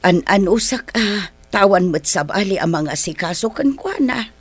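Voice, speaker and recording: An older Filipino wife in a radio drama, patient but worn by care. Her voice sits at a middling pitch as she coaxes her ailing husband. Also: expressive, normal speed, breathy edge, no room sound. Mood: worried, weary. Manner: coaxing, long-suffering. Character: patient, worried wife and mother of ailing old man. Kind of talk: Ilocano radio drama acting.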